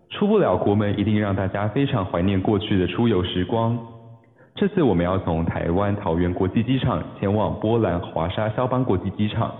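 A man reading a scripted welcome announcement as a club announcer. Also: fairly low pitch. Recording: dull, echoey, reverberant phone-like audio.

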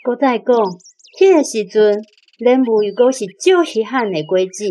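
A woman's voice: on the high side, expressive, moderate pace, mellow, close to an everyday voice. A woman with a Taiwanese accent playing a fond mother telling childhood memories in a children's radio drama. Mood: animated. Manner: chuckling storyteller. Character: fond nostalgic mother recalling her childhood.

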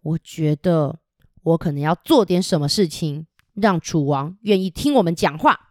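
A woman's voice: sits low, animated, normal speed, dry recording, clean studio sound. Woman doing the bold retainer Mao Sui. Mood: worried. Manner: forceful, pressing speech. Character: brave young male retainer, bold and forceful.

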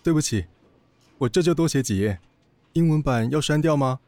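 A man playing a stoic, devoted, quietly teasing younger police partner, deadpan and softly spoken, in an audio drama. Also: on the high side, normal speed, dry recording. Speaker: voice actor.

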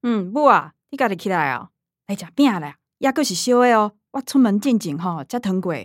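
A woman's voice: mid-range voice, lively intonation, fairly quick, no room sound, clean studio sound, natural voice. A woman playing a chatty, joking middle-aged mother in a radio drama, bustling and exclaiming with a Taiwanese accent. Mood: bustling, cheerful.

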